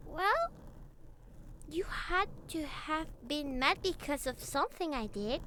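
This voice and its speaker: A woman's voice: sits high, fairly bright tone, slight background noise, full character voice. A woman voicing a young catgirl who was once a house cat, naive, clingy and earnest, with a childlike, hesitant, pleading way of talking in an intimate ASMR roleplay. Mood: shy, soothed. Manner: childlike, hesitant, pleading, slightly formal phrasing.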